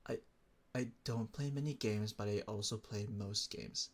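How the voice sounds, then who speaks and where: A man's voice: lowish voice, unhurried. A young man from the Philippines streaming and chatting casually with viewers, rambling and restarting his sentences as he talks.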